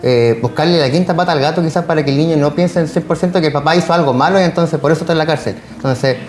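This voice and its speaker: Very fast-talking Chilean social worker in echoey room. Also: medium pitch, darkish tone. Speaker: social worker, interview guest. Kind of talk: conversational explanation of casework. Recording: roomy echo, faint background noise.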